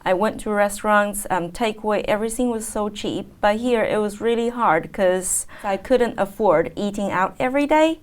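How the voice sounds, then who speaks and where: A woman in her thirties, raised in China, speaks English as a second language in a TV interview. She answers at a middle pitch, in a thoughtful and unhurried way, stopping often to gather her words. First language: Chinese. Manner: thoughtful, many fillers and pauses. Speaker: guest, teacher.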